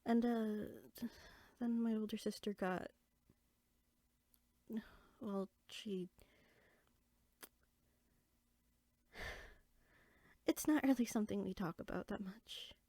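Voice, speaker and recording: A friendly, bookish fairy girl acted by a woman chats eagerly to a listener in a roleplay audio drama. Her mid-pitched voice slips into soft asides, recorded very dry and close. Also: unhurried, long pauses, no room sound, lightly acted. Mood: hesitant, subdued. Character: excitable, inquisitive young female fairy sorcerer, friendly and bookish. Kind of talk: one-sided roleplay audio drama, conversational.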